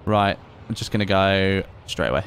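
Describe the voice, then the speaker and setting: A man's voice: sits low, normal speed, fairly bright tone, slight background noise. This is a man in his late twenties, streaming a game and chatting as he plays. His delivery is casual and rambling, and he breaks off to read out chat messages and make wry, sarcastic comments. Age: late 20s. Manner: chatty, reads chat aloud, sarcastic asides.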